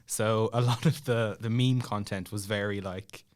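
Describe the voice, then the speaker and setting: A man's voice: lowish voice, steady tone, moderate pace. A young Irish man telling a story as a podcast guest in a casual, chatty, self-deprecating way. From Ireland.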